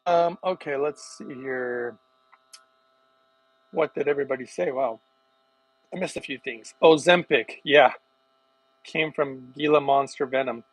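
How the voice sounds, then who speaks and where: A middle-aged man chatting casually with livestream viewers while making a smoothie in his home kitchen, rambling from one thought to the next. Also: mid-range voice, measured pace, long pauses, no room sound, poor audio. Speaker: wellness vlogger.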